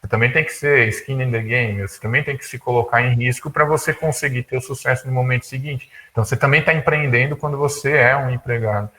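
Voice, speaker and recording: A man of about thirty gives an informal talk, colloquial and halting, often repeating words as he thinks. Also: mid-range voice, fairly even intonation, pushed and bright. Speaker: guest speaker, tech entrepreneur. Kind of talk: informal talk at an online conference.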